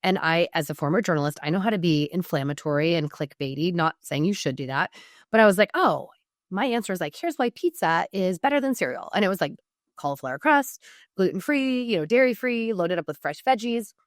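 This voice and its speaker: American woman, lowish voice, dry close sound. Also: expressive, brisk, dry recording. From Oregon, United States. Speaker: podcast guest, PR strategist and business coach. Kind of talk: conversational interview, informal coaching.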